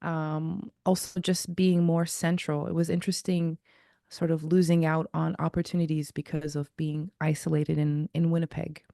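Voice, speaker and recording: Woman in her 30s from zimbabwe, lowish voice, dead dry close mic, takes her time telling it. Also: flat delivery, normal speed, creaky, mellow, no echo. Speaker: guest jazz vocalist. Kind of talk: casual interview.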